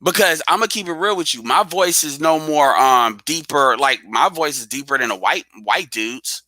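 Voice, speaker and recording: A man's voice: fairly high pitch, animated, moderate pace, pushed and bright, no echo. A man hosting a live audio stream in casual chat, blunt and profane, talking straight at his listeners.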